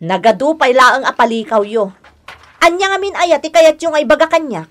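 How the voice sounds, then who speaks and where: A woman's voice: high-pitched, lively intonation, moderate pace. A woman playing an aggrieved, defensive young wife and stepmother in a radio drama, with a plaintive, emotional delivery. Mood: indignant. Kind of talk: Ilocano radio drama acting.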